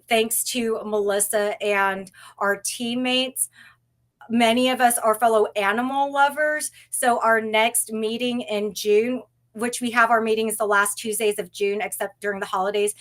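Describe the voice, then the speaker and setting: A woman whose first language is Tagalog, giving an earnest, measured presentation on a video call. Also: a little high, a bit bright. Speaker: presenter of a university session. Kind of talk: online presentation, warm and formal.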